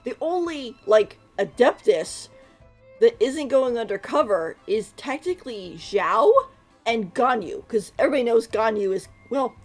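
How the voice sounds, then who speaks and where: A woman's voice: on the high side, animated, measured pace, a little mellow, faint music behind. A woman streaming a game with casual live commentary, informal and gushing as she reacts.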